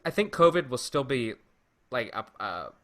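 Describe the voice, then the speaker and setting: A man's voice: medium pitch, big pitch swings, normal speed, fairly bright tone. A man co-hosting a podcast, talking casually in an informal group discussion. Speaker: podcast host.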